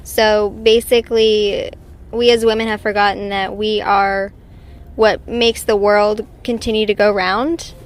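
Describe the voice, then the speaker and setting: A woman speaks as an interviewee in a medium-pitched voice. She offers a short reflection in plain conversational speech. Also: level tone, a little slow.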